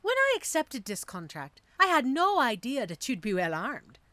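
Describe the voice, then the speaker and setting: Female mercenary assassin for fantasy audio drama, acted by a woman: wry, teasing, street-hardened, very animated pitch, close dry recording. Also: normal speed, no echo, full character voice. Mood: mocking surprise. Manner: teasing, theatrical, sardonic. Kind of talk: audio roleplay drama acting.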